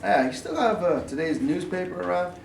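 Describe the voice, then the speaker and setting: A man's voice: high voice, lively intonation, brisk, creaky, slight background noise. A man reading the part of a small-town police officer in a staged play reading, folksy in small talk and cold when questioning. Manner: folksy, then cold and interrogating. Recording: echoey room, distant mic.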